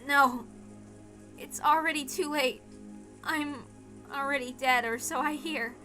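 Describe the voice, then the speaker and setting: A woman acts out a tough teenage girl in a visual novel, wounded but defiant. The voice is high, with slangy, bitter, dramatic delivery over clear background music. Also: takes it slowly, bright, forceful tone, exaggerated voice. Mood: weary, resigned.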